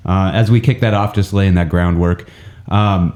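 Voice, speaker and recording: Deep-voiced man with a head cold. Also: steady tone, normal speed, darkish tone. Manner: rambling, self-mocking, many ums and uhs. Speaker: podcast host. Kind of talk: casual podcast chat. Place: indoor training center room, several people around a table.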